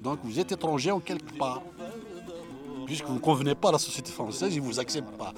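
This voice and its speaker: A man's voice: mid-range voice, animated, fast talker. Guy explaining a song, kinda earnest, repeats himself a lot, guitar music under it. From Algeria. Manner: earnest, repeats himself and restarts, rising emphatic stresses. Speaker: interviewee. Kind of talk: reflective explanation.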